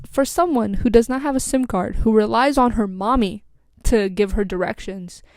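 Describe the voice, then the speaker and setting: A woman's voice: mid-range voice, no room sound, studio quality. A young woman with an American accent telling a casual, rambling story as a vlogger, full of "like" and "um". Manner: rambling, scatterbrained, lots of "like" and "um".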